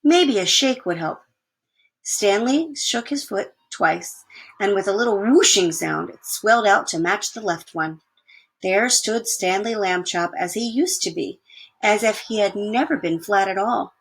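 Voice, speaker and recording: Creaky-voiced woman reading a storybook. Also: medium pitch, animated, measured pace, low-quality recording. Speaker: story reader. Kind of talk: expressive children's storybook reading.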